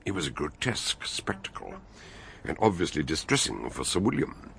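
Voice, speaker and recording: A man acting a courteous, inquisitive, learned English detective and storyteller in a radio drama, speaking deliberately and articulately. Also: low voice, sing-song, gravelly, a bit bright, a little hiss or hum, full character voice. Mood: measured, grave. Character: measured, inquisitive learned male sleuth and storyteller. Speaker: investigator and narrator. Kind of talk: radio drama dialogue and narration.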